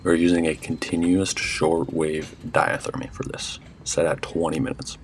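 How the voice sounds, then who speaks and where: A man's voice: extremely low pitch, flat delivery, moderate pace, a little hiss or hum. A man reading scripted educational narration in a plain, matter-of-fact way, like a student presenting an instructional video.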